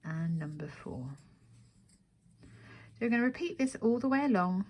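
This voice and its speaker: A woman's voice: medium pitch, expressive, moderate pace, darkish tone, a little hiss or hum. A woman hosting a crochet tutorial, giving step-by-step instructions and counting aloud as she goes.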